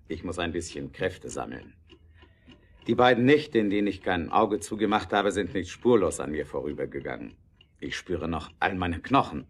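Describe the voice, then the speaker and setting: An actor playing a principled, earnest German motorcar inventor in an audio drama, speaking in a measured way. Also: male voice, lowish voice, breathy edge.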